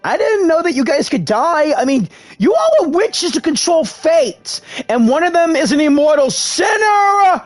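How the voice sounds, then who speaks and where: A gaming video creator giving casual, expressive playthrough commentary and reacting informally to the story. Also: high-pitched, moderate pace, dry recording.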